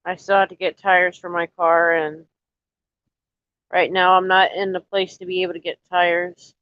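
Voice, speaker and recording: Low-voiced woman, nasal from allergies. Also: moderate pace, clear, resonant tone, no echo, dull audio. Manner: rambling, relaxed, talks straight to chat. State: stuffy from allergies, slightly nasal. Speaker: livestreamer chatting with viewers. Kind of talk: casual live chat.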